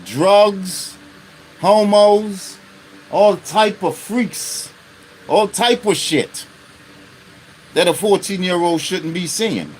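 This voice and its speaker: A man's voice: sits high, a little hiss or hum. Blunt, profane man in his fifties. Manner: blunt and profane, with constant rhetorical check-ins. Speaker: live-stream host. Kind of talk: unscripted live monologue.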